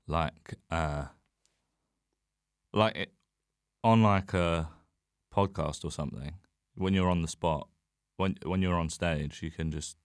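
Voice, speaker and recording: A man's voice: low-pitched, slow, pauses often, clean tone, dry recording, studio quality. A man chatting casually as a comedian guest on a podcast, rambling, self-deprecating and sweary. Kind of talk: casual chat.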